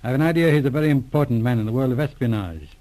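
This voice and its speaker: A man's voice: medium pitch, animated, rapid-fire, warm tone, lightly acted. A man playing a shrewd, unflappable police inspector turned private detective in a radio drama, speaking in a wry, matter-of-fact way. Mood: confiding, thoughtful. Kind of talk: 1940s radio drama acting.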